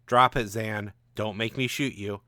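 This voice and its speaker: A man's voice: lowish voice, fairly even intonation, measured pace, bright, forceful tone, dry recording, studio quality. A man voicing a firm woman from the future, urgent and pleading, in a story.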